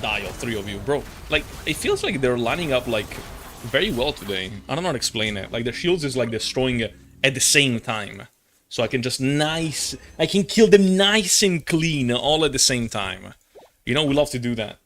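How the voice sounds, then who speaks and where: A man's voice: medium pitch, expressive, normal speed, fairly bright tone. A young man chatting casually on a gaming live stream, rambling and slangy, playfully exasperated and prone to swearing. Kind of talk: casual live-stream chat while playing.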